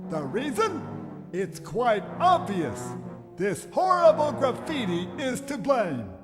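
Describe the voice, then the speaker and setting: A man's voice: very high-pitched, in a large hall, full character voice. A man voicing an indignant, pompous islander prosecutor in a formal, theatrical video game cutscene. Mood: accusing.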